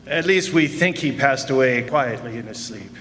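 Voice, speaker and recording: An actor playing a celebrity's manager making statements to the press in a radio drama. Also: male voice, medium pitch, lively intonation, moderate pace, a bit bright, lightly acted. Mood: solemn. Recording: echoey hall, stage performance.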